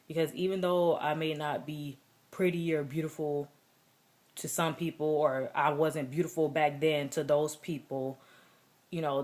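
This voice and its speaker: A young woman with a deep voice, talking earnestly and reflectively in a personal vlog, with many fillers. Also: a little mellow. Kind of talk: personal vlog contest entry.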